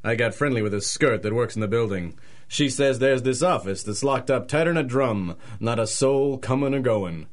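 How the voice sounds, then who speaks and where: A man's voice: mid-range voice, moderate pace, natural voice. Man playing a bossy old-time gangster boss. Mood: confident. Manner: tough-guy slang, domineering. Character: bossy, scheming male gang leader in 1930s crime. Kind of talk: 1930s radio drama acting.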